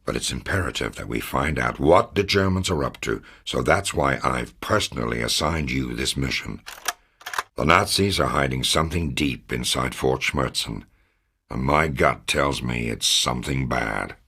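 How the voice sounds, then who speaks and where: Very deep, husky American commander. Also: male voice, lively intonation, pushed and bright, exaggerated voice. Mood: grave. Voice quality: gravelly, husky. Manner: grave, authoritative, measured. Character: gruff fatherly American wartime intelligence commander. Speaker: military intelligence officer giving mission briefings. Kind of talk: scripted dramatic video game briefing.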